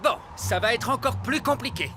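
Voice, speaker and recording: An actor voicing a cheery, bumbling adult elf scout leader, hearty and instructive, in a children's cartoon. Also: male voice, very high-pitched, moderate pace, loud music behind the voice. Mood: sheepish. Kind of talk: animated children's series voice acting, French dub.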